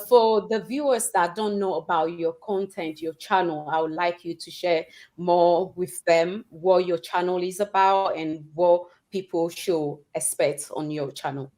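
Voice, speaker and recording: Woman host on a livestream, lower voice, hyped and encouraging. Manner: enthusiastic, encouraging. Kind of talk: livestream interview.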